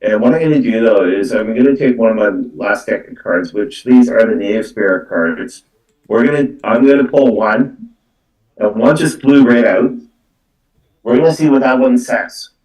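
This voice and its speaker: Guy doing live tarot readings, kinda halting and repeats himself, roomy sound a bit off the mic. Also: medium pitch, darkish tone, distant mic. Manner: halting, repetitive, filler-heavy phrasing. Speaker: psychic medium giving card readings. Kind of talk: live spiritual reading for a caller. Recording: roomy, echoey, away from the mic.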